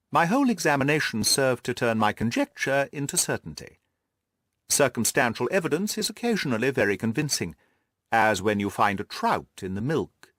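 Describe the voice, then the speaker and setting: Man voicing a wry, animated confident detective. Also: mid-range voice, no echo, studio quality. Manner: wry, precise, self-assured. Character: confident, amused, teasing male consulting detective. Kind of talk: audiobook character dialogue.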